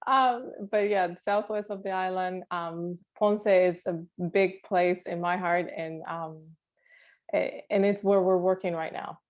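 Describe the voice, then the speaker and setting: A woman's voice: fairly low pitch, steady tone, takes it slowly, pauses often. A woman in her thirties from Puerto Rico, an architect talking about her life on a video call, laughing often and using many fillers. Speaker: guest alumna, architect.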